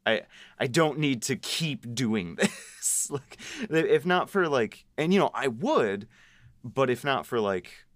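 A man on a creative production team talking casually and haltingly, often voicing his own thoughts as quotes. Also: mid-range voice, lively intonation, no room sound, clean studio sound. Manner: halting, self-quoting. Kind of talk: casual behind-the-scenes discussion.

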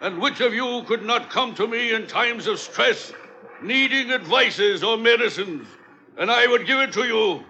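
An actor in an old radio drama playing a frightened, self-righteous sea captain, pleading and imperious like an orator. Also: male voice, very high voice, expressive, unhurried, sharp tone, full character voice. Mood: desperate oratory. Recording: muffled vintage radio recording.

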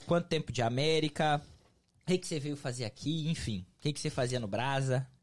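A man's voice: medium pitch, a little fast. A man co-hosting a podcast, talking upbeat and straight to the viewers. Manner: upbeat, addresses viewers directly. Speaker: podcast co-host.

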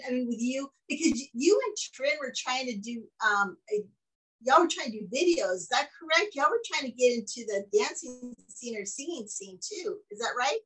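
Woman podcast host, bubbly, gushing, voice sits a touch high. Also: fairly bright tone, slightly rough, rough recording. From Mexican heritage. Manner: upbeat and gushing, with frequent laughs. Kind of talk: casual interview chat.

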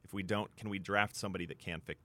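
A man's voice: low-pitched, fairly even intonation, fast talker, pushed and bright. A man with an American accent co-hosting sports radio, talking casually and hesitantly with lots of "you know" asides. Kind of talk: casual chat.

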